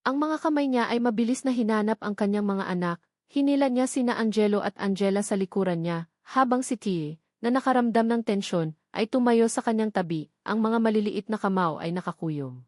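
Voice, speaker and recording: A woman narrator with a Filipino accent tells a scripted romantic drama. Her delivery is measured and theatrical, broken into short phrases with pauses. Her voice sits at a medium pitch, in a close, dry recording free of room sound. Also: a little mellow, dry recording.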